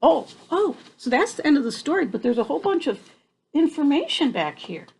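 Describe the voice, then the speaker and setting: A woman's voice: high-pitched, sing-song, fairly quick, creaky, a little mellow. An older woman, a grandmother reading a picture book to children expressively, with playful, exclamatory asides.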